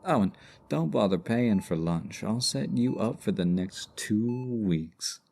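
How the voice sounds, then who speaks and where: A man's voice: fairly low pitch, lively intonation, slow, vocal fry, a little mellow, heavily acted. A man playing a cocky, teasing supervillain stuck as a hospital patient in an audio roleplay, talking with smug mock politeness. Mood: delighted, friendly. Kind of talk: ASMR roleplay audio drama.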